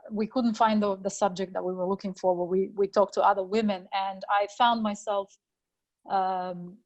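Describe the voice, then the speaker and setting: A woman's voice: mid-range voice, steady tone, normal speed. A woman photographer from Azerbaijan speaking on a video call, hesitant, with many fillers. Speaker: freelance photographer.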